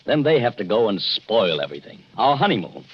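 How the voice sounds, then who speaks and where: Low-voiced, skeptical young husband. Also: male voice, very animated, a little fast. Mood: uneasy, grumbling. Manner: wry, exasperated. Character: skeptical, grumbling young American newlywed husband. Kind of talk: 1940s radio acting. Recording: muffled, noisy old radio recording.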